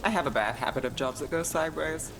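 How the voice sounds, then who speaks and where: A man's voice: fairly high pitch, fast, fairly bright tone, slight background noise. A man playing a cool, determined fantasy detective with a terse, dry, businesslike way of talking in a video game.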